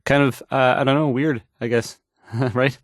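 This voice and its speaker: A man's voice: medium pitch, steady tone, no echo, studio quality, lightly acted. A man playing a stammering, insecure radio DJ. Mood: anxious, rambling. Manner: stammering, hesitant, halting, rambling and unsure. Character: nervous, awkward, insecure young male radio DJ. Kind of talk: fumbled radio news report in a video game.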